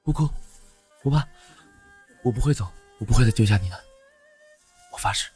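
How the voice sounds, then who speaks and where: A scrappy young beggar, raspy expressive voice, protective, costume cartoon, faint music behind. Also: male voice, medium pitch, moderate pace. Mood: tender. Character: young male beggar, exasperated yet protective. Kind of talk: dubbed animated costume drama.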